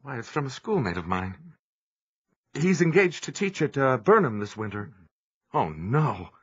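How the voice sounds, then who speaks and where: An actor playing an earnest, devout young preacher in an audio drama, with some narration. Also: male voice, medium pitch, very animated, measured pace, husky. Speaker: narrator and lead character. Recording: dull lo-fi audio with music.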